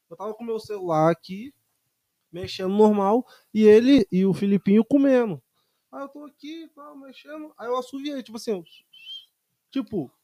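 Man in his twenties from Brazil, lively storyteller, high and mellow voice, dry sound. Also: dry recording. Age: 20s. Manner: animated storytelling, acts out dialogue. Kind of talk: casual chat.

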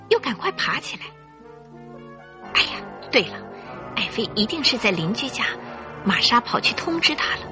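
A woman narrating a radio drama in an expressive, suspenseful storytelling style. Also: a little high.

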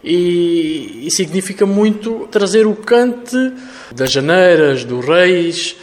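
A young man, answering an interview haltingly as a choir leader. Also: high voice, animated. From Ferreira do Alentejo, Portugal. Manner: halting, with fillers and restarts.

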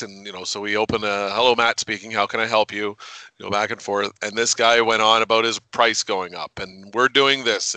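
An animated man co-hosts a podcast, telling funny stories and laughing often. His voice is very bright and sharp, recorded dry. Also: on the low side, dry recording, poor audio. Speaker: podcast co-host. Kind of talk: casual podcast chat.

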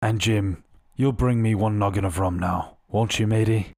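A man voicing a sick, rum-craving old sea captain who talks in rough sailor's dialect, weak-voiced but hearty. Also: on the low side, fairly even intonation, normal speed, mellow, no echo, clean studio sound, close to an everyday voice. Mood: pleading.

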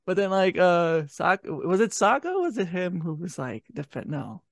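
Higher-voiced man with creaky, dry sound. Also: big pitch swings, a little slow, no echo. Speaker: podcast co-reviewer. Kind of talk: casual review chat.

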